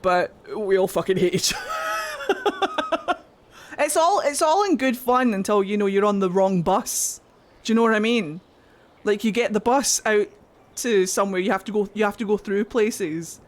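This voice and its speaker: A woman's voice: medium pitch, sing-song, moderate pace, long pauses. A young woman from Glasgow chatting casually on a gaming stream, swearing freely and laughing often as she rambles. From Glasgow, Scotland. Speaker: game streamer. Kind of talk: casual livestream chat while gaming.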